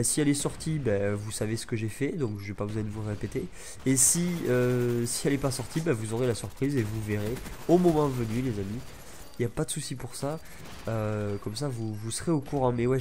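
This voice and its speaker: A man's voice: mid-range voice, background noise. A young man from France with a stuffy head cold, rambling chattily over his gameplay with many fillers. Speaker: gaming YouTuber. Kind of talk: gameplay commentary.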